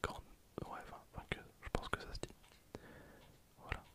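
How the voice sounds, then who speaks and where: A person whispering softly in a casual, hesitant way while commenting on their own gameplay for ASMR. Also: normal speed. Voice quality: soft unvoiced whisper. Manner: casual, hesitant, thinking aloud while playing. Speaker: ASMR gaming video creator.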